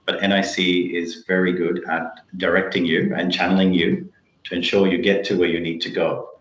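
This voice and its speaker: A man's voice: low voice, little pitch movement, normal speed, a bit bright. A man answering interview questions on a video call, explaining things emphatically in a conversational way. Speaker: interview guest, tech company chief executive. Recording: muffled, roomy video call audio.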